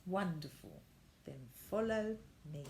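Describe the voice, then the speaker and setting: A woman voicing a kindly, practical motherly figure in a storybook, gentle and encouraging. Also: fairly low pitch, big pitch swings, slow, slight background noise, full character voice, poor audio. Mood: welcoming.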